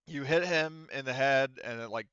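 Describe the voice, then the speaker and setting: A man's voice: mid-range voice, moderate pace, fairly bright tone, smooth, no echo. A man running a casual tabletop game as game master, informal and prone to swearing, on slightly muffled audio. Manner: informal, profane.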